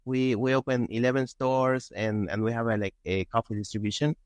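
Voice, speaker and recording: Man, Argentine accent, native Spanish speaker, smooth mid-pitched voice, casual interview guest, halting phrasing, dry sound. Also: little pitch movement, dry recording. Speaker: podcast guest, entrepreneur.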